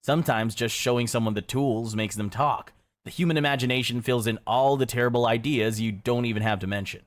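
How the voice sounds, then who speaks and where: A man reading scripted second-person narration in a measured, matter-of-fact way. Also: mid-range voice.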